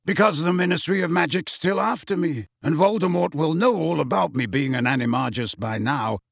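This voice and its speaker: A man's voice: on the high side, brisk, vocal fry, no echo, studio quality, voice pushed up. A man voicing a grim, bitter, restless man with a sarcastic way of talking, in an audiobook. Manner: sarcastic, colloquial.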